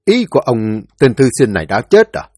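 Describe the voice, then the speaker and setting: Man voicing a stern old mandarin official, commanding, impatient, raised husky voice, dry sound. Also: mid-range voice, very animated, a little fast, darkish tone, no room sound. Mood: alarmed. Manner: barking orders, impatient.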